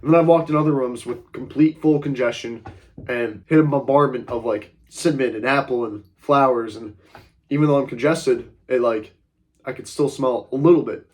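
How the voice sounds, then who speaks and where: A man's voice: mid-range voice, normal speed, darkish tone. Congested young man vlogging casually. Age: young adult. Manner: rambling, frequent fillers like "like" and "uh"; blunt, occasionally swears. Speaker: self-improvement vlogger. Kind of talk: casual advice vlog.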